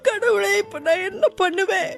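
A woman's voice: extremely high pitch, moderate pace, gravelly, soft background music. A woman acting a sobbing abandoned young daughter. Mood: sobbing despair. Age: young adult. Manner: sobbing, pleading and stammering. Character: young woman abandoned by her parents, desperate. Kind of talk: radio-style drama acting.

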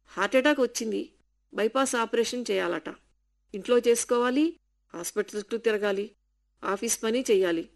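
A woman's voice: a little high, moderate pace, vocal fry, long pauses. A woman reading the part of an anxious, overworked woman employer. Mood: worried. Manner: anxious, tired. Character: worried working woman, employer.

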